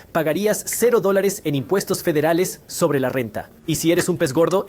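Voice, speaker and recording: A man with a somewhat raised pitch walks through a money lesson in a scripted explainer style. He talks conversationally and keeps turning rhetorical questions back to the viewer. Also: a little mellow. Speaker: finance educator.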